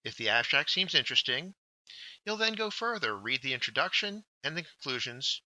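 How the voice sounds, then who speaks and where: A man presenting a scripted instructional workshop, with deliberate, emphatic phrasing. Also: mid-range voice, big pitch swings, sharp tone, no echo, low-quality recording. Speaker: workshop presenter, journal editor.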